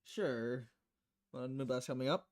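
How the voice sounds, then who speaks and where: A woman voicing a dry, reserved bartender in a visual novel, deadpan and understated. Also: very deep voice, measured pace, voice pushed down. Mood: deadpan, curious.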